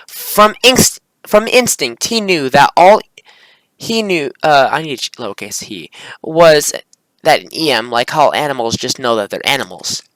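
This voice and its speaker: Lively, bright young-sounding voice reading a script. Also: mid-range voice, a little slow, dry recording, poor audio. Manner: stumbles over script, corrects self, excitable slangy asides. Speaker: video creator and amateur visual novel maker. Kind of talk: reading script aloud with casual asides.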